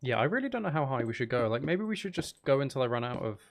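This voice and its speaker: A man streams a game, chatting casually and thinking out loud as he goes. His voice sits at a middling pitch with a noticeable creak. Faint noise and clicking sit behind him. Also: big pitch swings, fast talker. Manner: musing, thinking aloud to viewers. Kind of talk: casual livestream gaming commentary.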